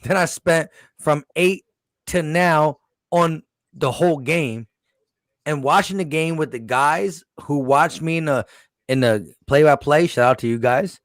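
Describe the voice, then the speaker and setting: A man's voice: a little high, unhurried, no room sound. A man hosting a postgame sports talk show, emphatic and insistent, nearly losing his voice. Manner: emphatic, repeats "listen". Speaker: sports podcast host.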